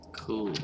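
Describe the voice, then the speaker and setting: A man doing let's-play commentary and reading game text aloud in an informal, hesitant way. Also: background noise. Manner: informal, hesitant, alternates reading narration with reactions. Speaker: gaming video host.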